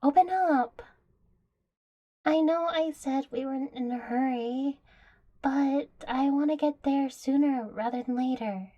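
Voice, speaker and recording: A playful young catgirl girlfriend acted by a woman speaks in a fairly high voice at a very unhurried pace. She is affectionate and teasing, coaxing the listener tenderly in an intimate audio roleplay. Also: lo-fi sound. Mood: impatient, coaxing.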